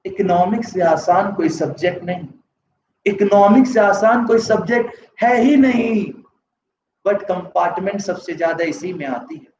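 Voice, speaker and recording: High-voiced teacher with a sore throat. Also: male voice, normal speed, darkish tone, slight background noise. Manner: mixes Hindi with English terms, asks students yes-or-no questions. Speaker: economics teacher. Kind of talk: live online class lecture. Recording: echoey, distant, slightly dull.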